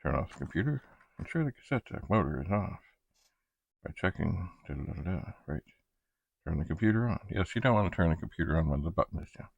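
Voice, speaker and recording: A man thinking aloud in a halting, casual ramble as he hosts a hands-on hobby demonstration, muttering to himself. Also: low-pitched, animated, vocal fry, husky, dry recording, muffled recording. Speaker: retro computer hobbyist host. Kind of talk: casual rambling demonstration while testing equipment.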